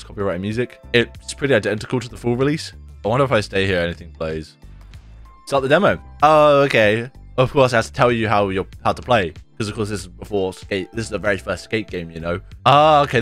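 A young man talks through a video game from his bedroom desk mic, loose and rambling, peppering his talk with "like" and "dude". His voice sits a little low and rough, left over from a recent sore throat. Faint game music plays underneath. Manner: chatty, rambling, says "like" and "dude" a lot. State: deeper voice after a sore throat. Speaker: gaming YouTuber. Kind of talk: casual gameplay commentary. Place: bedroom at home, indoors.

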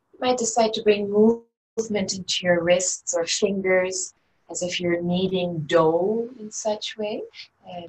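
A woman teaching a guided meditation in a gentle, unhurried, inviting way. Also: lowish voice. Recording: distant mic with faint background noise.

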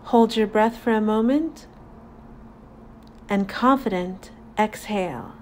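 A woman narrating a guided meditation in a calm, deliberate, soothing manner with long pauses. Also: medium pitch, slight background noise.

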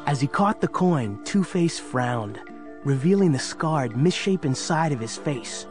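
A man's voice: on the high side, animated, measured pace, a little mellow. A man narrating an audio story in a dramatic, scripted storytelling style. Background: music.

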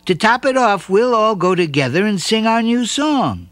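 A man doing a lively, bossy young boy. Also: high voice, no room sound, pitched above the performer's own voice. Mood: eager. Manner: quick, animated planning.

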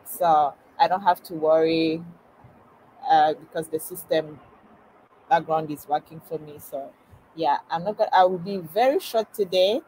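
Halting woman on a phone call. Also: deep voice, warm tone, slight background noise. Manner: simple, halting phrasing. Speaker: team member on a video call. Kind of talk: casual chat. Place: outdoors on a balcony. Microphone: phone video call.

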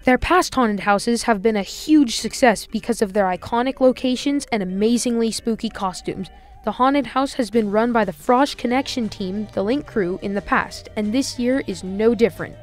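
A woman's voice: fairly high pitch, moderate pace, soft background music. Upbeat teen girl reporter voice-over. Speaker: student reporter. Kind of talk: scripted news voice-over.

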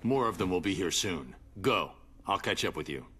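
An actor playing a brooding, commanding masked vigilante in a video game, with a terse, stern delivery. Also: male voice, low voice, expressive, normal speed, background noise. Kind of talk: video game cutscene acting.

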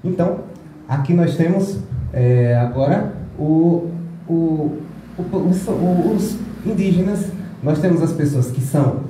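A man's voice: on the high side, slow pace, mellow, slight background noise. Chatty man in an echoey room. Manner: chatty, rambling, with restarts and playful asides. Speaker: presenter of a music video. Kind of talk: casual public talk. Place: small indoor meeting room with projector screen.

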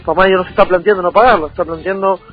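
A man with a Rioplatense Argentine accent talking politics as a radio interview guest, emphatic and repetitive, with halting phrasing. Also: high voice, steady tone, breathy edge. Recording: tinny phone-like sound over music.

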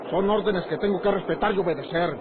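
A high-voiced Mexican ranch man, played by an actor in an old radio serial, bossy and quick to anger. He talks in a gruff, rustic, threatening way. The sound is thin and tinny with heavy noise. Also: steady tone, close to an everyday voice. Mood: irritated, threatening. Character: bossy, short-tempered ranch man keeping secrets from his woman. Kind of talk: old radio drama acting.

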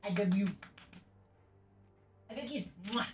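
A woman's voice: mid-range voice, takes it slowly, a little hiss or hum. A woman baby-talking to a pet dog in short, cooing, repeated phrases. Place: indoor room, away from the mic. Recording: roomy, distant from mic, music behind.

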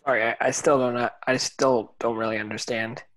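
A puzzled, hopeful teenage wizard boy played by a man in a drama table read. The voice sits at a middle pitch, and the delivery is halting. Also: fairly even intonation, a bit bright, lightly acted. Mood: puzzled, wistful.

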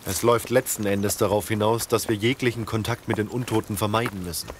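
A man playing a calm, strategic leader of survivors who explains things in a measured way in an audio drama. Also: fairly low pitch, background noise, lightly acted. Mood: earnest, calm.

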